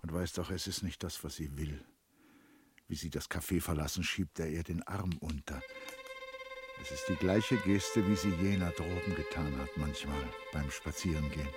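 A man narrating a literary radio drama in a measured, sombre manner. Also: very deep voice, music in the background.

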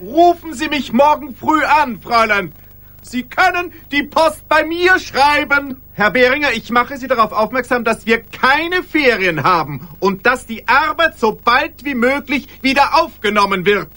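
An actor playing a strict, officious office boss in a German radio play. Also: male voice, very high voice, lively intonation, unhurried, bright, forceful tone, full character voice.

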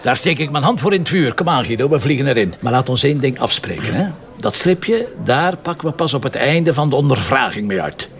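A man with a Flemish accent acting in a radio drama as a stubborn, wisecracking police commissioner, gruff, teasing and bossy. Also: mid-range voice, sing-song, fairly quick, few pauses. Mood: commanding.

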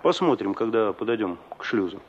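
A man's voice: lowish voice, animated, brisk, slight background noise, natural voice. An actor playing a plain, practical young village man in a Soviet radio drama, wistful and sensible in colloquial speech. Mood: matter-of-fact. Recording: muffled old radio drama recording.

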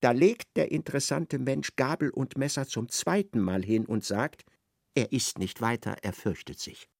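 A man's voice: medium pitch, normal speed, gravelly, no echo, clean studio sound. A man narrating a literary radio play in a theatrical manner, retelling conversations in reported speech.

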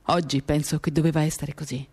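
A woman giving a dramatic, confiding first-person reading of literary prose, measured and intimate, in short reflective phrases. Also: low-pitched, little pitch movement, a little mellow, slightly rough. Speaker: reader of a novel for radio theatre. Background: acoustic guitar music.

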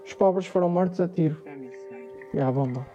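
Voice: medium pitch, normal speed, mellow, highs cut off, soft background music, close to an everyday voice. A performer with a European Portuguese accent playing a solemn narrator of a dystopian future in a radio drama. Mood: grave.

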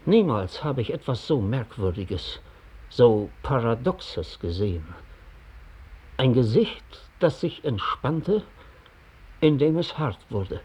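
Husky, bitter ex-informant. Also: male voice, medium pitch, sing-song, slow, darkish tone, exaggerated voice. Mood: brooding, reflective. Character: bitter, reflective male former Gestapo informant recounting his past. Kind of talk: mid-century radio drama monologue.

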